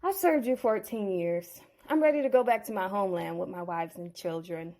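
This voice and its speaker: A woman's voice: medium pitch, moderate pace, darkish tone, lightly acted. Crafty man talking his way to a deal, persuasive; woman narrator voices him. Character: crafty young shepherd Jacob, bargaining.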